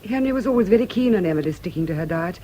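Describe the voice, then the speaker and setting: An actress playing a composed, solicitous, quietly calculating gentlewoman in a radio drama, gentle and persuasive in her speech. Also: fairly low pitch, animated, very fast, close to an everyday voice. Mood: quietly probing. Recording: faintly noisy radio drama recording.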